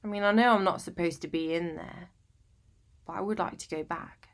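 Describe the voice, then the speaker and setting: A woman's voice: fairly low pitch, steady tone, moderate pace, natural voice. A woman with a British accent acting a conversational monologue as a lonely, eccentric, wryly matter-of-fact woman, chatty and self-deprecating. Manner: chatty, colloquial, self-deprecating, tag questions. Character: lonely, sleep-deprived, eccentric woman, wryly matter-of-fact about her bleak life. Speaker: monologue performer. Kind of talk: confessional dramatic monologue, conversational.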